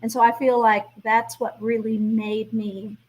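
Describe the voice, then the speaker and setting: A woman's voice: fairly high pitch, little pitch movement, unhurried, darkish tone, slightly rough, poor audio. A middle-aged woman being interviewed as a guest, speaking reflectively and laughing lightly between thoughts. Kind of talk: interview conversation.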